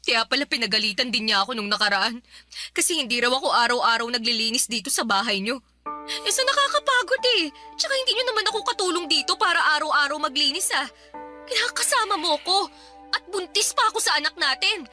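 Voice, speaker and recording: A woman's voice: sits high, animated, fast, bright, forceful tone, faint music behind, full character voice. A woman playing a defiant, whiny young Filipino wife who complains and talks back in a radio drama. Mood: exasperated, complaining. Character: defiant young pregnant live-in partner, fed up, whiny.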